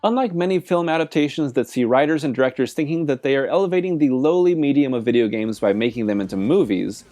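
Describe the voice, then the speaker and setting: A man's voice: medium pitch, quick pace, warm tone. A man delivering scripted film essay narration as a video essayist, with music playing behind him. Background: background music.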